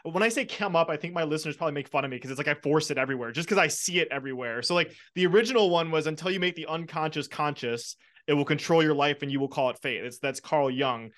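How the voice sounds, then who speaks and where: Fairly high-voiced male host, reflective, enthusiastic. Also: quick pace, fairly bright tone. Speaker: podcast host. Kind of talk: podcast interview.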